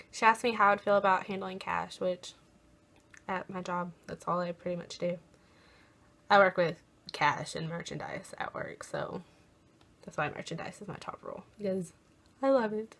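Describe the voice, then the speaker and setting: Slow-paced young American woman, casual vlogging. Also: fairly low pitch, a bit bright. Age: young adult. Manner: halting, pausing to recall. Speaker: college program applicant vlogger. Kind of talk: casual chatty vlog.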